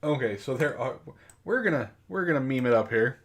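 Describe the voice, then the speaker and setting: A man's voice: medium pitch, expressive, brisk. A man hosting a casual comedic book club podcast, bantering in a mock-exasperated way.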